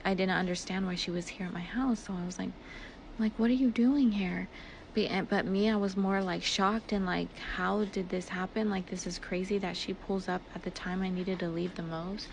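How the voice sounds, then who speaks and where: A woman's voice: medium pitch, gravelly. A woman telling a personal story casually and conversationally, peppered with filler words and retold dialogue. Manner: filler-heavy, conversational, quotes dialogue as she retells. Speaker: speaker recounting personal events. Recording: noisy ambient background, amateur voice clip.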